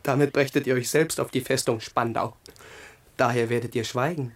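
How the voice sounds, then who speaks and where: An actor playing a bitter, cultured young crown prince in a radio play, declaiming with theatrical irony. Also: male voice, medium pitch, fairly even intonation, normal speed, natural voice. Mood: scornful irony. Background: music.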